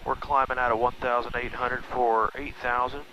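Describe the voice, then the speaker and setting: Bright-voiced pilot, muffled cockpit headset. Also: male voice, medium pitch, little pitch movement, moderate pace. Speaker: private pilot and video host. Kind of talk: casual vlog narration and radio calls. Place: small plane cockpit in flight. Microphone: aviation headset. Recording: muffled aviation headset, engine noise. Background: aircraft engine drone.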